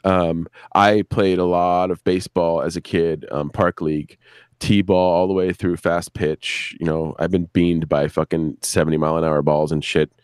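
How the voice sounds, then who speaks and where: A man chatting casually as a guest show creator on a live stream, rambling and halting, repeating words and swearing freely. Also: low-pitched, flat delivery, a little mellow, clear, resonant tone, no echo.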